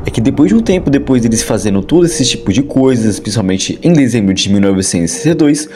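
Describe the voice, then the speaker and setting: A man's voice: mid-range voice, animated, fast talker, warm tone. A man with a Brazilian accent giving casual, chatty scripted commentary as the host of an online video. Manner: informal, chatty storytelling with colloquial turns. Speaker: YouTube host and narrator. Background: background music.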